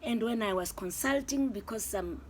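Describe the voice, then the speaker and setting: Older mom telling her story, slow and earnest, bit of faint noise. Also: fairly high pitch, darkish tone. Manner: earnest, measured storytelling with pauses. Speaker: adoptive mother, interviewee. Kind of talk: casual family interview.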